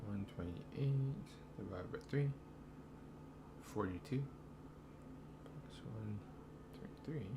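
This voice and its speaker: A man's voice: on the low side, lively intonation, slow pace, long pauses, noisy background. A young man commentating casually over his own gameplay, laid-back and thinking aloud with slang. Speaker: gaming streamer.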